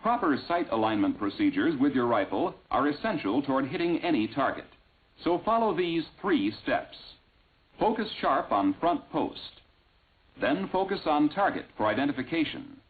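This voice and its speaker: A man reading scripted instructional narration in a measured, clearly enunciated way, on an old film soundtrack. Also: on the high side, big pitch swings. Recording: tinny, band-limited vintage film soundtrack.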